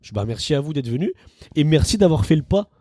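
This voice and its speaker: Young male comedian, mellow timbre, dry close mic, lively storyteller who slips into quoted voices. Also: fairly high pitch, fast, no echo. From northern France. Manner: animated, acts out quotes in shifted voices. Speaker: comedian guest. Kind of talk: casual podcast chat.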